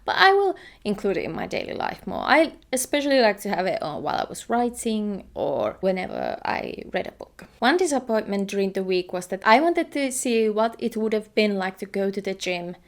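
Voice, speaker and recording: A woman's voice: mid-range voice, big pitch swings, normal speed, hardly any pauses. A young woman from Finland with a Finnish accent, chatting casually in a vlog and laughing often. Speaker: vlogger.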